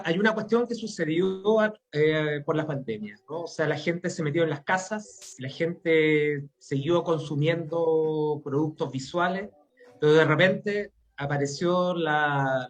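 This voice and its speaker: A man's voice: fairly high pitch, muffled recording. A middle-aged man with a Chilean accent giving reflective interview answers, repeating words as he thinks. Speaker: interview guest, playwright and academic.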